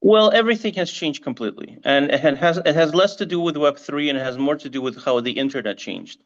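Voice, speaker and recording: A man's voice: fairly high pitch, fairly quick, lo-fi sound. A middle-aged man, a marketing agency head, answers interview questions thoughtfully with frequent restarts and ums. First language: English and Russian. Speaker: marketing agency CEO, guest.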